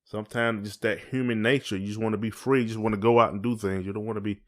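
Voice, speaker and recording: A man's voice: lowish voice, steady tone, quick pace, fairly bright tone. Man chatting casually on a podcast. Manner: folksy, colloquial phrasing. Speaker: podcast co-host. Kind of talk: casual conversational podcast chat.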